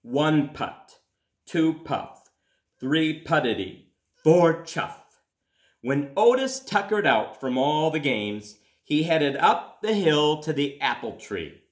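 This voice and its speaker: Male children's book reader: fairly high-pitched, very animated intonation, deliberate delivery with dramatic pauses. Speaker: school principal reading aloud. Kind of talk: children's storybook read-aloud. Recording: echoey room.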